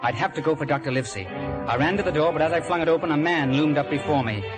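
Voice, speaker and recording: Actor as an earnest young storyteller, higher-pitched. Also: male voice, quick pace, over music, lightly acted. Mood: suspenseful. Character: earnest young man recalling his boyhood seafaring adventure. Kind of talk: dramatic scripted radio narration. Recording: old radio broadcast.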